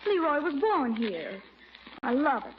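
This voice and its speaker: A woman's voice: sits high, big pitch swings, a little slow. An actress playing an earnest, outspoken niece in her twenties, in 1940s radio comedy style. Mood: upset. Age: 20s. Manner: earnest, emphatic. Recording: muffled, noisy old radio recording.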